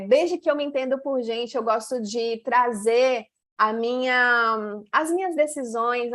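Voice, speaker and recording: A middle-aged Bahian woman leads an online masterclass as a mentor. Her voice sits a little high, and she often pauses and adds small checks to keep listeners with her. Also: fairly bright tone. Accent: Bahian Brazilian Portuguese. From Salvador, Bahia, Brazil. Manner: frequent "né" and "tá" tags, pauses and drawn-out stretches. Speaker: women's mentor.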